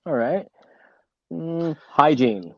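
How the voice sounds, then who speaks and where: A man's voice: a little high, expressive, very slow, a bit bright, no room sound. Male interviewer. Short casual prompts and reactions, close dry sound, slightly lo-fi. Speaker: podcast host, interviewer. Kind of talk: casual word-association interview.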